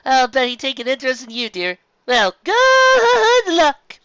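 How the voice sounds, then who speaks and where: A reader voicing an effusive high-society mare who raves in a high, theatrical voice, in a dull lo-fi recording. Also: huge pitch swings, a little slow, bright, forceful tone, dry recording, exaggerated voice. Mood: gushing.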